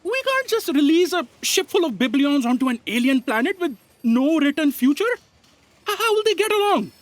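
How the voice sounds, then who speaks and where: A woman's voice: high voice, big pitch swings, moderate pace. A woman playing a sardonic, put-upon office aide in a comic sci-fi audio drama, sarcastic and exasperated. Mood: worried.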